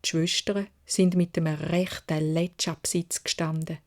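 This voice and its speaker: Slow-paced Swiss German woman storyteller. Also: low-pitched. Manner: measured, expressive. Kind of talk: children's fairy tale narration.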